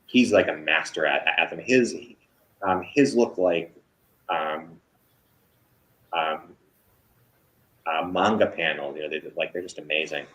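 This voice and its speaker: Low-voiced hesitant man. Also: a bit bright, low-quality recording. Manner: halting, many fillers and restarts. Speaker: author guest. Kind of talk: casual interview chat.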